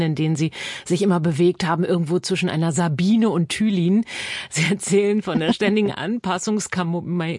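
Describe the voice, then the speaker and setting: A woman's voice: fairly low pitch, darkish tone, breathy edge, no room sound, clean studio sound. A woman conducting an interview, asking formal, articulate questions in long, composed sentences.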